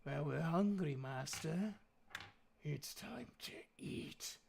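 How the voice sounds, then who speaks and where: A man voicing a hungry, servile rat minion in a tabletop game, with a gravelly, hushed voice. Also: a little high, lively intonation, slow pace, noisy background, heavily acted. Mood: hungry, sinister.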